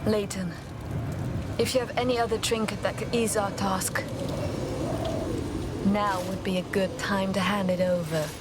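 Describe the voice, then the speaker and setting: Curt, defiant warrior woman played by an actress, mid-pitched. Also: fairly even intonation, a little slow, pauses often, lightly acted. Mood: dry, defiant. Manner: curt, dry, resolute. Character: stoic, defiant warrior elder sister, dry and determined. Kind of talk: video game cutscene acting. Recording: loud ambient noise behind.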